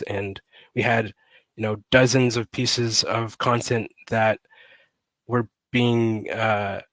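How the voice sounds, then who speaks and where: A man in his thirties talking slowly with frequent pauses, slightly raspy from a cold, as a podcast guest on a headset at home. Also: medium pitch, fairly bright tone, no room sound. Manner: slow, deliberate, with many pauses and fillers. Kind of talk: casual interview. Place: home room, indoors.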